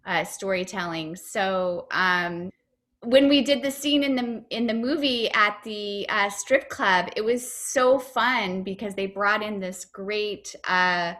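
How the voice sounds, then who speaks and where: A woman's voice: medium pitch, slow pace, fairly bright tone. A woman comedian and actor answering interview questions over a video call, chatty and upbeat, with lots of fillers and restarts.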